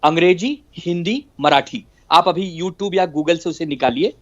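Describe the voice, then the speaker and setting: High-voiced insistent male party spokesman. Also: a little slow. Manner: exasperated, insistent, repeats phrases. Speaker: party spokesman as debate guest. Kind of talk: heated political debate. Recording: dull, lo-fi remote link.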